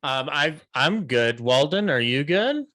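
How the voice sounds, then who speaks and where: A man's voice: fairly high pitch, big pitch swings, a little slow, a bit bright, dry recording, studio quality. A man, speaking casually as the host of a conversation. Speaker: event host. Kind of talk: casual video-call interview.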